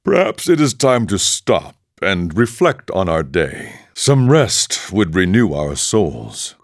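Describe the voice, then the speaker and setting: An actor playing an aging, serene and wry warrior monk in a video game, with a deep, gravelly voice and a measured, philosophical delivery. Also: male voice, very animated, no room sound, clean studio sound, exaggerated voice. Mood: calm, musing. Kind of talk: video game voice lines and battle barks.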